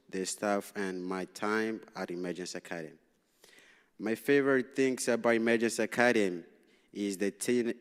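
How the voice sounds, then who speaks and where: A young man from Tanzania whose first language is Swahili, reading a prepared speech as a student representative at a public meeting, halting and nervous, with frequent restarts. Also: on the low side, clean tone. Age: young adult. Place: large city hall meeting room. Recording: long reverb in a council chamber.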